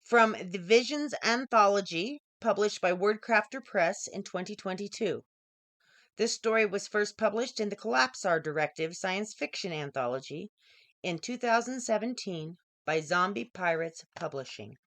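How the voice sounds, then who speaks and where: A woman giving an expressive scripted reading of her own short story as its author. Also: medium pitch, normal speed, no echo.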